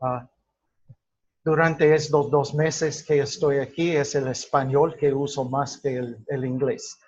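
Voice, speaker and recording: A man lecturing a class at a very slow, deliberate pace, pausing often with fillers and restarting his words. His first language is English. The recording sounds dull and lo-fi. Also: mid-range voice, little pitch movement, darkish tone. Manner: halting, many fillers and restarts. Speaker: teacher.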